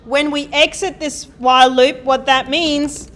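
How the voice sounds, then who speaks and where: A woman giving a live coding lecture, talking loudly and quizzing the class as she types. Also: high voice, steady tone, unhurried. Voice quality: loud voice. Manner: chatty, asks the class questions, thinks aloud while typing. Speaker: university programming lecturer. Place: lecture hall.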